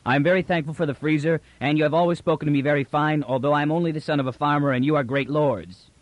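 Man playing a jumpy farm-boy sergeant, thick rural accent, higher, fast and stammering, dry studio sound. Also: fairly even intonation, dry recording, lightly acted. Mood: agitated. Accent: thick rural accent of the figure. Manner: agitated, rushed, stumbling over words. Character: excited young male peasant-born soldier.

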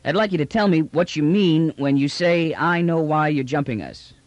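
A man's voice: on the high side, normal speed, dry recording, dull audio, voice pushed up, lightly acted. A man voicing a brash, unruffled male space trader with a cheeky, slangy, colloquial manner in an audiobook. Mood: easygoing, frank. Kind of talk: audiobook character dialogue.

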